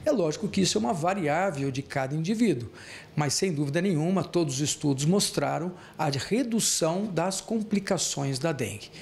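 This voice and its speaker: A man's voice: on the high side, expressive, moderate pace, vocal fry, a little mellow. A man in his fifties with a Brazilian accent, explaining as a clinic director in an interview. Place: small clinic room. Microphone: handheld interview microphone.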